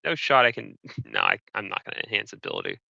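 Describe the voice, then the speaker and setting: A man's voice: fairly low pitch, wildly swooping pitch, quick pace, vocal fry, pushed and bright, no room sound. A man playing a tabletop game, chatting casually about what his character does, on a slightly dull, dry recording. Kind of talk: casual game chat, speaking for his character in third person.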